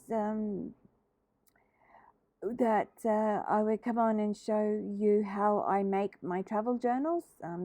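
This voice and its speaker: Middle-aged Australian craft host, mellow and a little throaty from a cold, rambling casually with plenty of ums. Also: female voice, mid-range voice, no echo. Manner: hesitant, lots of ums and uhs, chatty. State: slightly throaty from a head cold. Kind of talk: casual crafting tutorial chat.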